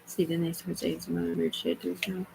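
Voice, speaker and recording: A woman's voice: lowish voice, completely flat delivery, fast, vocal fry, warm tone, slight background noise, poor audio. A woman livestreaming, rambling as she reads aloud and makes asides to herself and her viewers. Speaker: live streamer.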